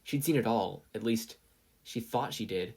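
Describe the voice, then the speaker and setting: Husky, medium-pitched story narrator. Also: moderate pace, darkish tone. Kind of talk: scripted fan fiction narration.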